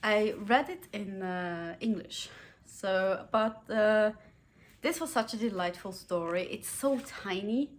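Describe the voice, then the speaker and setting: A woman's voice: medium pitch, slow. Chatty German-native woman reviewing books. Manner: chatty, giggly, many fillers and restarts. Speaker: book reviewer. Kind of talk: casual book review vlog.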